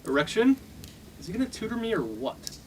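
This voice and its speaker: An actor playing a bullying, dim young fraternity boss in a comedic radio play, blunt and domineering. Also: male voice, high-pitched, big pitch swings, fairly quick, slight background noise. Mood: hostile.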